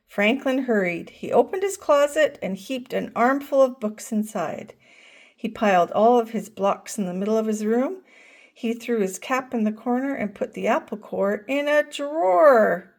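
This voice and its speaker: An older woman reading a picture book aloud to children, gently asking them questions along the way. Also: fairly high pitch, moderate pace. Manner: gentle, asks listeners questions. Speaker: storyteller grandmother.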